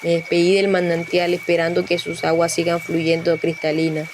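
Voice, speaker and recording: A woman's voice: fairly low pitch, completely flat delivery, normal speed, noisy background. A woman reading scripted documentary narration as a podcast reporter.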